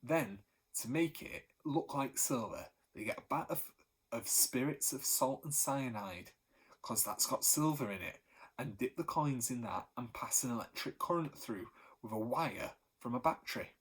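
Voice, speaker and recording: A man voicing a middle-aged baker who boasts and shares secrets in a hushed storybook voice. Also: medium pitch, expressive, measured pace, husky. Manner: boastful, then hushed and confidential.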